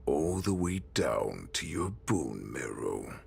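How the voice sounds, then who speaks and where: Gravelly, abyss-deep wolf warlord. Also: male voice, sing-song, exaggerated voice. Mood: gloating menace. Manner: slow, theatrical, contemptuous. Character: menacing, gloating wolf-beast warlord. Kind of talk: dramatic video game acting.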